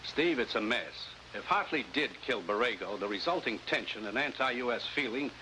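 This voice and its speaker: Brisk American commissioner, bright firm voice. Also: male voice, mid-range voice, expressive. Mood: brisk, businesslike. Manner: brisk, businesslike, dry. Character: brisk, authoritative male intelligence commissioner. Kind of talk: 1950s American adventure drama acting. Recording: faintly noisy vintage 1950s TV soundtrack.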